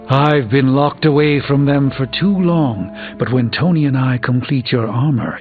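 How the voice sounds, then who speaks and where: Man playing proud male sorcerer, formal, measured, wistful, theatrical game dialogue, muffled audio, music behind. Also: medium pitch, close to an everyday voice. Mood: hushed, ominous. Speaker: video game voice actor. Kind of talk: dramatic video game dialogue.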